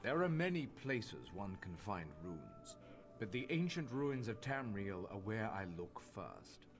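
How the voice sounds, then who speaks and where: An actor playing a learned master crafter in a fantasy game, speaking in a formal, measured, instructive manner. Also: male voice, lowish voice, big pitch swings. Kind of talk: scripted fantasy game dialogue. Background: game music.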